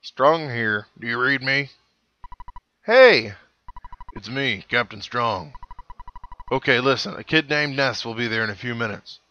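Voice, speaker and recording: A man voicing a blustering, bossy small-town police captain from a video game, gruff and commanding as he reads the dialogue aloud. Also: medium pitch, animated, a little slow, pauses often, sharp tone. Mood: brisk, self-important.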